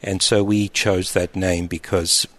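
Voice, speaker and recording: A middle-aged man, an abbot speaking in an interview in a thoughtful, halting way with frequent restarts. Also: low-pitched, little pitch movement, fairly bright tone. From Egypt, raised in Australia. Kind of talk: reflective interview answers.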